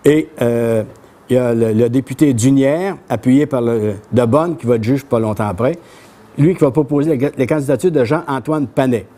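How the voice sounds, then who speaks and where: A man's voice: moderate pace, warm tone. An older man with a Québécois accent giving a history lecture to a small audience in a café, reading from a tablet in a plain, conversational way. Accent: Québécois French. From Quebec. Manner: plain conversational telling, reads quoted speeches with lifted pitch. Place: small bookshop café with brick walls, before an audience.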